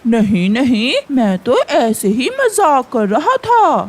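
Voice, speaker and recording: A woman voicing a wicked, selfish male crow in a cartoon, scheming and smooth-talking. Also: on the high side, big pitch swings, slow pace, heavily acted. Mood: slyly coaxing. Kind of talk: Hindi cartoon character dialogue.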